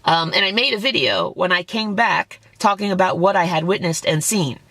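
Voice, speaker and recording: Hoarse, indignant woman with gravelly voice. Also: fairly low pitch, lively intonation, normal speed, fairly bright tone, dry recording, low-quality recording. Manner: indignant, blunt, swears when angry. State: hoarse, losing her voice. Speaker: vlogger responding to a critic. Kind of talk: defensive reaction video commentary.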